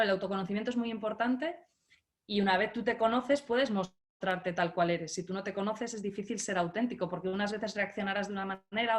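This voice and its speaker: A middle-aged woman from Galicia speaks as a leadership coach, her voice sitting at an ordinary pitch. She explains things in a measured, patient way, now and then restarting a phrase. She is heard over a video call. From Galicia, Spain. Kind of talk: interview answers.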